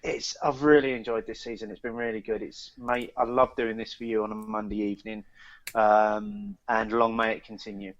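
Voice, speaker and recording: A man's voice: on the low side, little pitch movement, moderate pace. A British man co-hosting a casual podcast, talking hesitantly and often repeating his words. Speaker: podcast co-host. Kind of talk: casual chat. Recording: slightly dull, lo-fi audio.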